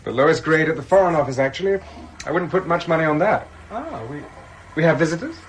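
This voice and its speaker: An actor playing a polite, hesitant English ex-army captain in a British radio drama. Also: male voice, a little high, lively intonation, fast talker, natural voice, rough recording. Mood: apologetic, awkward.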